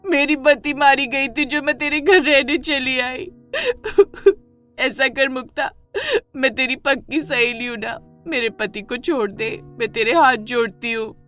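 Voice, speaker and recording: A woman's voice: high-pitched, slow pace, sharp tone, soft background music, pitched above the performer's own voice, full character voice. A woman voicing a jealous, hot-tempered young wife in a melodramatic story, with emotional outbursts. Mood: tearful pleading. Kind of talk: melodramatic Hindi moral story acting.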